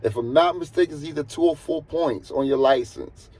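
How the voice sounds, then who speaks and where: A man with a husky voice, talking casually as a truck driver giving earnest, emphatic advice and repeating points for stress. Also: on the high side, brisk, mellow. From United States. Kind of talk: casual advisory monologue.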